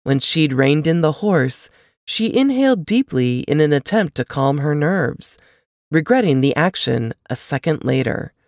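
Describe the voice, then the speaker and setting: A woman reading a novel aloud as scripted narration, with expressive, measured storytelling delivery. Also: low voice, dry recording, studio quality. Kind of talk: scripted audiobook-style fiction narration.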